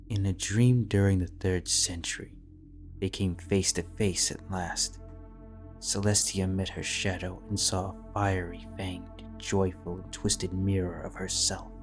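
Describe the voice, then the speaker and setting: Deep, flat-voiced male narrator. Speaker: audiobook narrator. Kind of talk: scripted fanfiction narration. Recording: soft background music.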